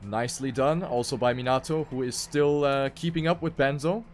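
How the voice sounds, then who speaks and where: A man's voice: medium pitch, moderate pace, faint music behind. A man giving live commentary on a racing video game as an esports caster.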